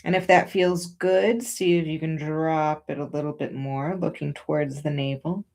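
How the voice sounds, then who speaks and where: A woman teaching yoga and breathing, giving gentle, soothing, unhurried encouragement as she guides each movement. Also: deep voice, steady tone, creaky.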